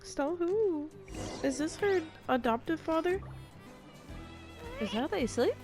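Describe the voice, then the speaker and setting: A woman with a high, creaky voice reacts casually as she plays a game. She is playful and jokey, and game music runs clearly behind her. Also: expressive, unhurried. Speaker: game streamer.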